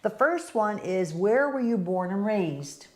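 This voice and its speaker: A woman's voice: medium pitch, animated, measured pace. An older American woman co-hosting a casual question-and-answer chat, upbeat and chatty as she leads the questions. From United States. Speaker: co-host.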